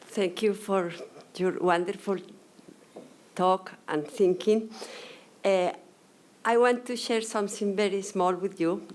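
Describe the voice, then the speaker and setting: Halting woman commenting from the audience. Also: mid-range voice, fairly even intonation, a little mellow. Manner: halting, searching for words. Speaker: audience member. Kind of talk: question period comment.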